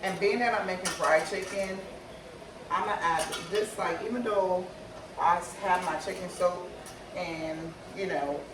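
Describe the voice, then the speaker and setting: A slightly hoarse woman chats easily while she cooks, calling her viewers y'all. She sounds set back from the mic, and her voice rings around a roomy home kitchen. Loud clatter of pots and dishes goes on behind her. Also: on the low side, measured pace, distant mic, noisy background. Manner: relaxed, chatty, talks to viewers as y'all. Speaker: cooking vlogger. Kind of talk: casual cooking tutorial chat.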